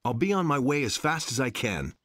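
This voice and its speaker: An actor playing a plain-spoken, weary warrior hero in a fantasy game, talking in a dry, matter-of-fact way. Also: male voice, mid-range voice, big pitch swings, fairly quick, fairly bright tone, no echo.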